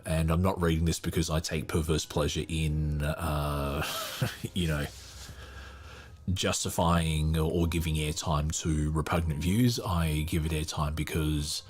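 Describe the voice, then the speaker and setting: A man hosting a podcast with casual live commentary, talking in a rambling, halting way and easily sidetracked. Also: very deep voice, darkish tone, husky. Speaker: podcast host. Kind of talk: casual live commentary while reading a book.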